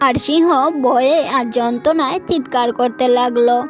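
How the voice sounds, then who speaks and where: A woman's voice: sits high, moderate pace, faint music behind. A woman narrator reading a scripted children's cartoon story.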